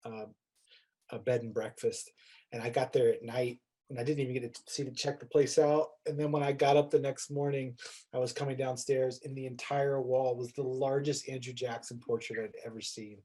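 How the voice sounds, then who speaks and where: A man's voice: medium pitch, level tone, moderate pace, dark tone. A man who teaches, talking casually as a panel guest, informal and thinking aloud. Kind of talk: casual, unscripted panel talk.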